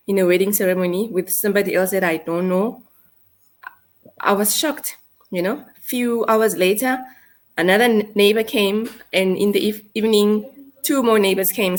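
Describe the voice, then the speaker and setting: A woman in her thirties with a South African accent, telling a painful personal story in a halting, hesitant way. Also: medium pitch. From South Africa, North West. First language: Setswana. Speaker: guest. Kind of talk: emotional personal account.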